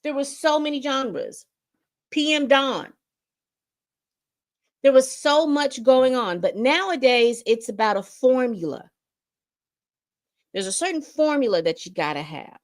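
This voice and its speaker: A woman's voice: high voice, expressive, measured pace, long pauses. A woman in her fifties giving a casual commentary monologue, emphatic and repetitive, often restarting her sentences. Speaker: commentary host.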